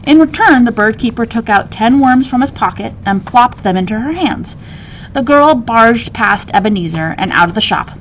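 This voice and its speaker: A woman's voice: fairly high pitch, lively intonation, normal speed, dull audio, a little hiss or hum. A woman reading a children's story aloud expressively, like a teacher to students, with casual asides.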